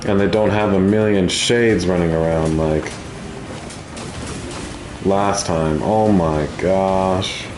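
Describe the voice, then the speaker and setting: A man's voice: low voice, fairly even intonation, slow pace, long pauses. A man giving casual, slangy gameplay commentary as a streamer. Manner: informal, slangy. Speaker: gaming streamer. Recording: roomy echo, game music underneath. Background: video game music.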